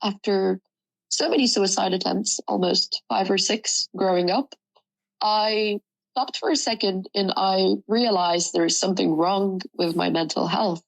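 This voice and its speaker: A young woman from Sudan, a native Arabic speaker, earnestly reflecting on her life in an interview, pausing with ums and correcting herself. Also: mid-range voice, steady tone, creaky, poor audio. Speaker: student interviewee. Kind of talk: personal interview.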